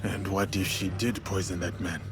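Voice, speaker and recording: A man playing a stern, wary samurai in a video game, speaking in a measured, serious manner. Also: sits low, level tone, natural voice. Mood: suspicious. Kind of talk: dramatic game voice acting. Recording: loud music behind.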